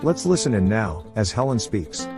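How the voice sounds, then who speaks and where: A man's voice: fairly low pitch, sing-song, moderate pace. A man narrating an audio play, setting the scene in scripted lines with guitar behind him. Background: plucked guitar music.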